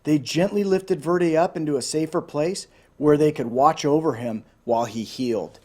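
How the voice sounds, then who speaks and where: Higher-voiced man reading a storybook aloud. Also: moderate pace, dark tone. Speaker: storybook reader. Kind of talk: expressive children's read-aloud storytelling with asides.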